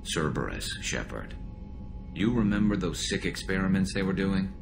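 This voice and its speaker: An actor voicing a battle-worn alien male vigilante in a video game, gravelly, wry and loyal. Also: low-pitched, big pitch swings, moderate pace, heavily acted. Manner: wry, dry humor. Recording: reverberant, loud music behind.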